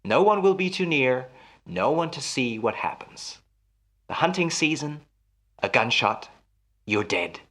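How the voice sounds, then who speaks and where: A man's voice: mid-range voice, big pitch swings, measured pace, long pauses, a bit bright. An actor in a radio play as a jealous husband, musing theatrically and teasing his wife with menace. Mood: playful menace.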